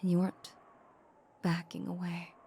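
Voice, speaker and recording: A woman playing a seductive, possessive female vampire in a breathy, whispered ASMR audio roleplay, lingering and teasing on her words. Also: lowish voice, monotone, normal speed, warm tone. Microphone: close microphone.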